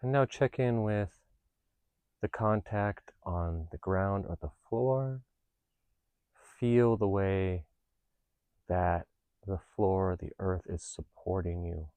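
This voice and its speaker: A man leading a guided meditation, giving gentle instructions in a calm, unhurried, reassuring manner. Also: deep voice, no room sound. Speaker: meditation teacher.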